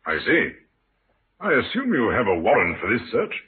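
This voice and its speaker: An actor playing a haughty, suspicious colonel in an old radio drama, clipped and pompous. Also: male voice, fairly low pitch, huge pitch swings, moderate pace, breathy edge, exaggerated voice. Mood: indignant. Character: haughty, suspicious English colonel, evasive widower. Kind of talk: 1950s radio drama acting. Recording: tinny, noisy old radio recording.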